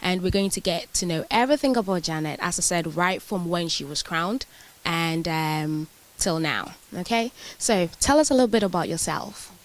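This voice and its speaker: A woman's voice: fairly low pitch, animated, moderate pace, poor audio. A woman hosting a magazine interview in a prepared, formally worded way. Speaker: interview host.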